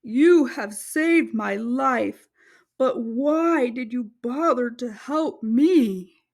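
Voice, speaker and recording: A woman's voice: on the high side, expressive, extremely slow, full character voice. A woman voicing a huge, proud male lion in a children's storybook, with a hearty, grand, gracious manner. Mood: grateful. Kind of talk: children's storybook read-aloud.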